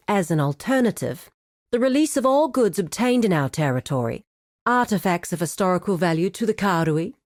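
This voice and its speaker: A businesslike female cartel administrator from a video game, played by an actress, formal and authoritative. Her phrasing is measured, yet her pitch moves freely through a middle register. The sound is dry and close. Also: darkish tone, no echo, lightly acted. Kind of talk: scripted video game character dialogue.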